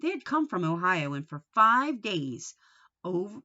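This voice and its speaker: A woman teaching and reading aloud to young children, explaining things simply, asking them questions and reading with deliberate expression. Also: lowish voice, very animated, pushed and bright. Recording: dull, lo-fi sound.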